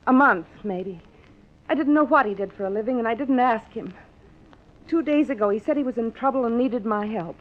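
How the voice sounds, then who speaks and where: Actress as nervous young American moll, higher voice, earnest pleading, old-time radio style, dull recording. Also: female voice, brisk, lightly acted. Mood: anxious, confessing. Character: anxious, pleading young gangster's girlfriend. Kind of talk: 1950s radio drama acting.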